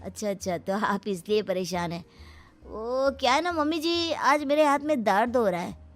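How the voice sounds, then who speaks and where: A woman's voice: a little high, animated, moderate pace, lightly acted. A woman voicing a lazy, grumbling young son-in-law in a cartoon story, whiny and evasive. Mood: whiny excuses. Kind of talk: Hindi cartoon story dialogue. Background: background music.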